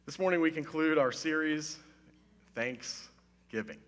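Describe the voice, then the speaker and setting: A slightly hoarse man in his thirties preaches in a loose, joking way, putting on mock-serious drama. His tone is bright and forceful. A long reverb from the hall trails his words. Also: a little high, unhurried. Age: 30s. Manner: playful, self-mocking, mock-serious. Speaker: pastor. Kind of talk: casual humorous sermon. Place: church stage with wood-panelled wall. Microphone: headset mic.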